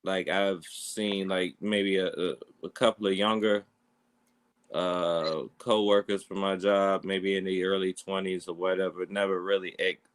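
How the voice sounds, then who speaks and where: A man's voice: sits low, flat delivery, measured pace, clean tone. A man co-hosting a podcast, giving blunt, unbothered opinions in casual chat. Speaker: podcast co-host.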